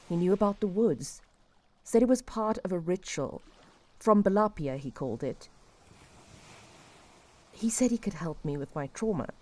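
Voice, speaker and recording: A woman acting a despairing refugee who confesses old guilt in a reflective, halting way, in an audio drama. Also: fairly low pitch, darkish tone. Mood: regretful, defeated.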